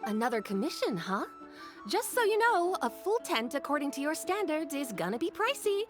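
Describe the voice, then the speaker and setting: Grudging game shop seller, high pitched, wry but lively delivery, music behind. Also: female voice, moderate pace. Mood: businesslike. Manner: wry, matter-of-fact. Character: grudging, businesslike female shopkeeper selling camping gear. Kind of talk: video game voice acting.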